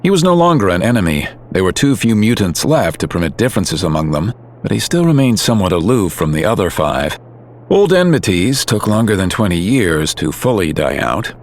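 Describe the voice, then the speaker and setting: Very deep male narrator, lively delivery. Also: brisk. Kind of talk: scripted dramatic story narration.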